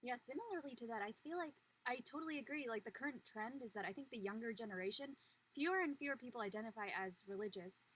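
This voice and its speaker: A woman's voice: on the high side, fast, fairly bright tone, slight background noise, low-quality recording. A woman reasoning aloud in a casual discussion, reflective and informal. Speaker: panelist.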